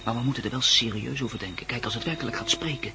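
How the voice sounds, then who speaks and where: A man's voice: medium pitch, fast talker, close to an everyday voice. A man acting in a Dutch radio play as a down-to-earth, joking friend with a wry, informal way of talking. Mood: reassuring, joking. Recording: noisy old radio drama recording.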